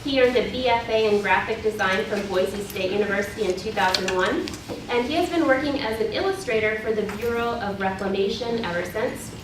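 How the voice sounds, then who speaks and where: A woman's voice: mid-range voice, fairly quick, few pauses. A woman moderating a symposium, reading out speaker introductions with a few fillers. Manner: warm, welcoming introductions with occasional fillers. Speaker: event moderator. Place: college symposium hall. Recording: echoey hall, mic far off, noisy.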